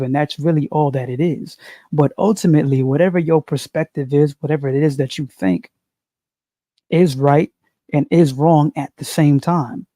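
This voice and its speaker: A man giving an unscripted spiritual monologue, slow and preachy, repeating phrases and asking "you see". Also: fairly high pitch, dark tone, no echo. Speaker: spiritual commentator.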